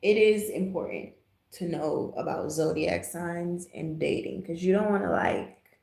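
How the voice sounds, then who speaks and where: A woman's voice: on the low side, animated, measured pace, creaky, a little mellow. A woman with an American accent, chatting casually and in a laid-back, slangy way as she hosts a livestream. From Jamaica, raised in the US. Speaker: livestream host. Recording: roomy, echoing livestream audio.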